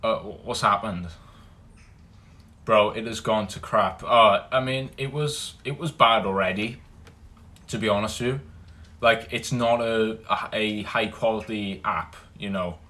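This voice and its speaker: A young Welsh man hosting a casual livestream, greeting viewers by name and chatting loosely, loud when he greets people and low and mumbled when he muses. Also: measured pace. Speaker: livestream host. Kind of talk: casual livestream chat reading comments.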